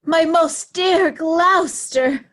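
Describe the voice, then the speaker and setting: A woman playing a commanding, seductive and scornful noblewoman, deliberate and imperious, in a Shakespeare reading. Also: very high voice. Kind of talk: remote readers' theatre Shakespeare performance.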